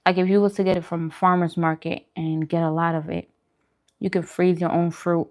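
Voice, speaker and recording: A woman's voice: fairly low pitch, flat delivery, brisk, darkish tone. A woman vlogger chatting casually with viewers, giving emphatic, opinionated food advice and repeating phrases for emphasis. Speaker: vegan food vlogger hosting a mukbang. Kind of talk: casual livestream chat answering viewers.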